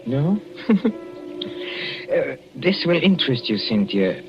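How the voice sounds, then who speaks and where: An actor playing a jealous, menacing husband in a 1940s radio suspense drama, speaking in silky, mocking endearments with hesitant, drawn-out phrasing. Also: male voice, mid-range voice, big pitch swings, over music. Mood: gloating menace. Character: jealous, menacing husband calmly poisoning his wife. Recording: muffled, noisy old radio broadcast.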